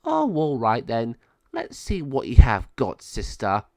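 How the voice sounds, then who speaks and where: A man's voice: fairly high pitch, very animated, a little slow, dry recording, studio quality, pitched above the performer's own voice. A man voicing a villainous, gloating sorceress in a storybook tale, with a drawling, taunting, cackling manner. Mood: menacing. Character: villainous gloating sorceress sister, later giant raven.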